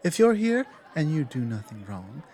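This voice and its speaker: A man playing a huge, stern minotaur tavern guard in an ASMR roleplay, confident and teasing, with a deliberate, halting, menacing but amused delivery. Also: on the high side, very animated, a little mellow, some chatter behind. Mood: wry, firm. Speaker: audio roleplay performer. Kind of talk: ASMR roleplay, in-character monologue.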